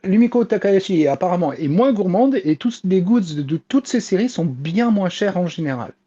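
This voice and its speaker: A man's voice: high voice, lively intonation, moderate pace, dark tone, husky, muffled recording. A man chatting casually as a panelist reporting news on a talk show, hesitant and searching for words. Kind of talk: casual chat.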